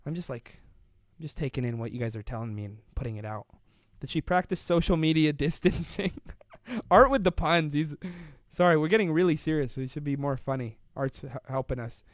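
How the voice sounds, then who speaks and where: A man's voice: fairly high pitch, a little fast, no echo, studio quality. A young man hosting a casual live online show, rambling and playful, laughing often as he reads out viewer comments. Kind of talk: casual live-stream chat.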